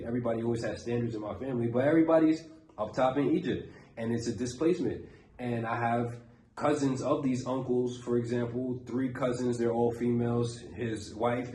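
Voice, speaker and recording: A man's voice: mid-range voice, dark tone. A man giving a blunt, profane motivational monologue as a self-improvement coach, circling back to his point again and again. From Brooklyn, New York. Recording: roomy echo, faint background noise.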